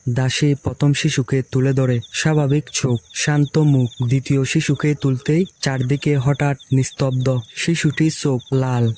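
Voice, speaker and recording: Even-toned male fairy tale narrator. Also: medium pitch, a little hiss or hum.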